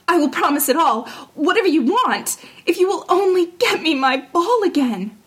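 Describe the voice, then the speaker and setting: A woman's voice: very high voice, big pitch swings, moderate pace, voice pushed up. A woman voicing a spoiled, fretful young princess in a fairy tale, with an emotional, girlish delivery. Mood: eager, promising. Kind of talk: fairy tale storybook character voice.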